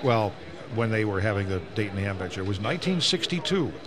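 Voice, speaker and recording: An older man from Northeast Ohio reminiscing and explaining casually on a radio show, sometimes halting and repeating words for emphasis. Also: lowish voice, big pitch swings. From Northeast Ohio, United States. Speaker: ham radio veteran on a talk show. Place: live broadcast from a busy ham radio convention. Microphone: broadcast microphone. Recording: crowded convention floor, busy background chatter.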